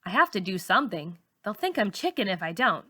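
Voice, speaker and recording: A woman narrates a first-person story like a teacher reading to a class. Her voice is mid-pitched and bright, with a forceful edge and expressive delivery. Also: brisk, husky. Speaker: teacher reading a novel aloud.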